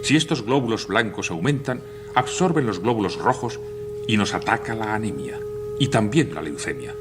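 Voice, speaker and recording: An actor playing a knowledgeable, grave expedition officer in a radio drama, didactic and authoritative. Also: male voice, on the low side, animated, moderate pace, noisy background, close to an everyday voice. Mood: grave, explanatory. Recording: loud music behind the voice.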